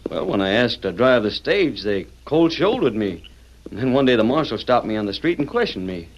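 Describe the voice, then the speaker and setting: An actor playing an eager, earnest young stagecoach driver in an old radio western, talking in a folksy way. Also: male voice, medium pitch, big pitch swings, fairly quick, muffled recording, lightly acted. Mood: grateful, earnest. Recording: old radio recording with background noise.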